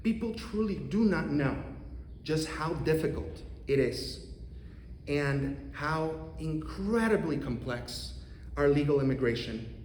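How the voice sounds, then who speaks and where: A man in his thirties from Costa Rica with a Costa Rican accent, an economics professor giving an earnest, measured prepared talk to a congregation. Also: fairly high pitch, animated, darkish tone, a little hiss or hum. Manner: earnest, measured, with occasional restarts. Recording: roomy hall reverb, faint ambience.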